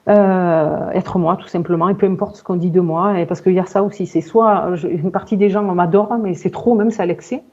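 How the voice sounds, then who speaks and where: A woman in her forties giving a halting personal account in conversation, searching for words and often repeating herself. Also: fairly low pitch, steady tone, mellow, muffled recording. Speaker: client in a hypnosis session interview.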